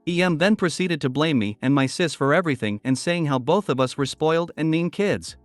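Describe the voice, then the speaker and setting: A man narrating reddit posts in a plain, even read-aloud style. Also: a little high, brisk, no echo, soft background music. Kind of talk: scripted narration reading posts.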